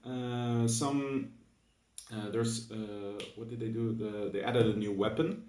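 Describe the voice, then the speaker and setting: A man's voice: mid-range voice, fairly even intonation, takes it slowly, a little mellow, a little hiss or hum. A young man with a Dutch accent, just woken up, talking through a casual, hesitant monologue for his gaming video full of fillers and restarts. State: just woke up. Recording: echoey room, mic at a distance.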